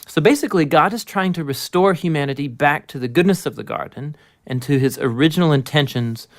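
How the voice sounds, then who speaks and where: A man co-narrating an educational explainer in a casual, conversational, animated storytelling way. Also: a little high, fairly quick, dry recording, clean studio sound.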